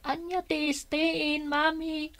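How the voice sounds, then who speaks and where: Very high, slow-talking little child. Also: female voice, flat delivery, fairly bright tone, no echo, full character voice. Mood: curious. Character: innocent, curious young child of a single mother. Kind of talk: Ilocano radio comedy-drama acting.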